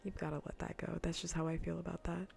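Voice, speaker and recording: A woman livestreamer chatting casually in a soft, breathy, often near-whispered ASMR style, close to the microphone, languid, teasing and blunt. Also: fairly low pitch, completely flat delivery, fast talker. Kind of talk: soft-spoken ASMR, casual livestream chat while gaming. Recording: faint background noise.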